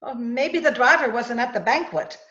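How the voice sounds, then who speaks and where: A woman's voice: a little high, moderate pace, a bit bright, close to an everyday voice. A woman playing an earnest, curious young female companion in an old-time radio drama over a video call. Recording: roomy, dull video-call audio.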